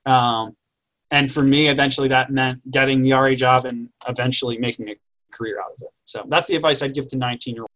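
A man co-hosts a relaxed talk and reflects on his own setbacks. His voice is bright and forward, and his pitch sits in the middle. He tells his story in an easy, steady way. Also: fast, low-quality recording. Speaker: podcast co-host. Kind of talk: casual advice chat.

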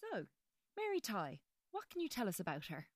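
A woman's voice: mid-range voice, wildly swooping pitch, moderate pace, no room sound, studio quality. An actress playing a curious, genteel woman in a radio drama. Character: curious, genteel woman prompting a storyteller, wistful.

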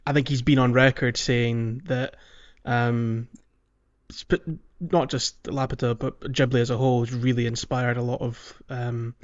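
A man's voice: medium pitch, little pitch movement. Man chatting on a podcast, slightly dull audio. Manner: halting, rambling, repeats words. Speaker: podcast co-host. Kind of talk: casual podcast discussion.

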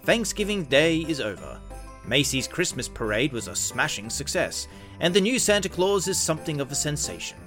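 A man's voice: on the high side, big pitch swings, moderate pace, fairly bright tone, soft background music. A man reading scripted narration for an old-time radio drama.

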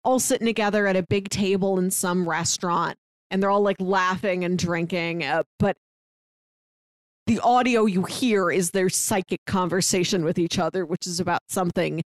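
A woman's voice: on the low side, normal speed, dry recording. A woman co-hosting a podcast, retelling film scenes in casual chat full of fillers. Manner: lively retelling with frequent fillers. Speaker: podcast co-host.

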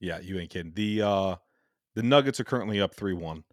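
A man's voice: low-pitched, fairly quick, no room sound, clean studio sound. A man in his thirties co-hosting a casual sports podcast, upbeat and joking, swearing now and then. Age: 30s. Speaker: podcast co-host.

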